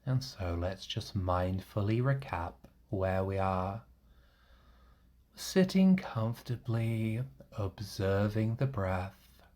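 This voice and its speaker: A man with a fairly low voice guides a meditation at a very slow pace. He speaks gently and reassuringly in short, soothing instructions. Long pauses separate his phrases.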